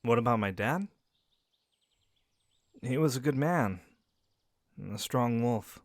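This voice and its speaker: An actor playing a young male werewolf and caring pack beta, speaking gently and reassuringly straight to the listener in an audio roleplay. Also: mid-range voice, animated, slow pace, no room sound. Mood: somber.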